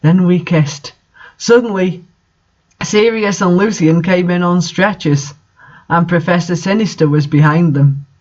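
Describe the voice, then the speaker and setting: A man with a high voice reads amateur fiction aloud in a mocking way. He breaks off for incredulous, exasperated commentary. The recording is dull and lo-fi. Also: unhurried, darkish tone. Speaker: fan fiction reader and commentator. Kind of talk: mocking dramatic reading with commentary.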